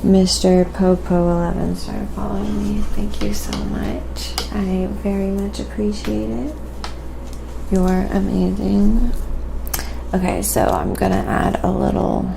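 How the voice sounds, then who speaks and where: A woman livestream host speaking softly and breathily in a casual ASMR style, often slipping into a whisper as she muses aloud while painting and thanks viewers. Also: lowish voice, background noise. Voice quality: soft, breathy, often dropping into whisper and fry.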